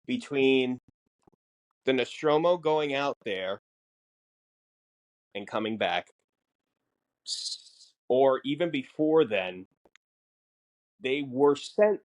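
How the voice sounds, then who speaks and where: A man co-hosting a podcast, explaining casually in a halting way, piecing his thoughts together. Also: mid-range voice, low-quality recording. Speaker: podcast co-host.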